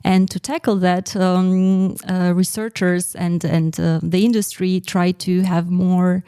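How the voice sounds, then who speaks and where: A woman explaining her research as a podcast guest, pausing hesitantly in mid-sentence as she goes. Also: lowish voice, flat delivery, warm tone, clear, resonant tone, no room sound, studio quality. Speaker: guest researcher in secure messaging.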